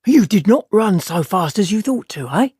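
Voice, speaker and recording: A woman's voice: mid-range voice, big pitch swings, moderate pace, dark tone, breathy edge, no room sound, clean studio sound, voice pushed up. A woman reading a story, voicing a big menacing male guildsman with a strange accent who mocks and threatens his rival. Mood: gloating. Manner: mocking, gloating.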